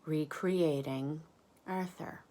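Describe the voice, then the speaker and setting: A woman's voice: deep voice, slow, warm tone. A woman reading a picture book aloud to young listeners, adding chatty asides, questions and exclamations. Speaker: read-aloud storyteller.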